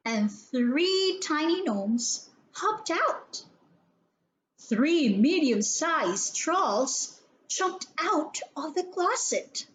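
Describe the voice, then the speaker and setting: A woman reading a children's story aloud in an expressive, theatrical way, with deliberate emphasis on key words. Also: high voice, rough recording.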